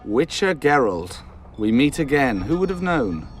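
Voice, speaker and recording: A man's voice: medium pitch, very animated, unhurried, faint music behind. An actor playing a proud, bitter nobleman of royal blood in a video game, formal and sardonic in manner. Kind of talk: video game cutscene dialogue.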